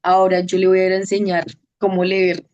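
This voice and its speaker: A woman at a medium pitch, giving her testimonial in an interview. She thinks aloud as she goes, hesitating and starting sentences again. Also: monotone, brisk, a little mellow, dull audio. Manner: thoughtful, hesitant, many restarts. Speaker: au pair program participant, teacher.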